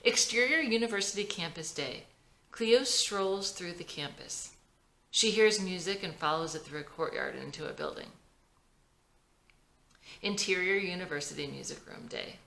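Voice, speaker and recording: Woman, screenplay narration, bright tone, lively intonation, roomy echoing recording. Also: on the low side, vocal fry. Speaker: scriptwriter reading her own script. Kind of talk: scripted narration, screenplay reading.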